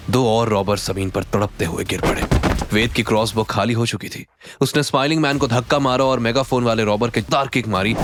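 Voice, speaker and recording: A man with a fairly low voice narrates a scripted audio drama as its storyteller. His delivery is dramatic, with music behind him.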